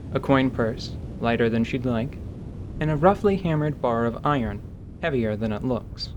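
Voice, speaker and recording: Medium-pitched male narrator over rumbling ambience. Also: muffled recording, slight background noise. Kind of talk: dramatized scripted narration.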